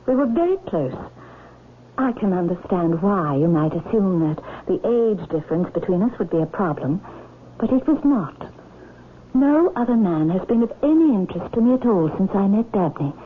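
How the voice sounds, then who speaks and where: An actress playing a proud, composed aristocratic widow in a radio drama, speaking in a formal, poised and imperious manner. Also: female voice, mid-range voice, animated, moderate pace, natural voice. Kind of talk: 1970s radio drama acting. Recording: muffled lo-fi old radio broadcast, hiss.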